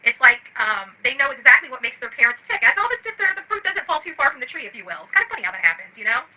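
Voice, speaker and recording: Rapid, bright-voiced, blunt middle-aged woman. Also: mid-range voice, rough recording. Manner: blunt, combative, salty-tongued. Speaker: host of an online channel. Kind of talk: unscripted commentary addressed to her audience.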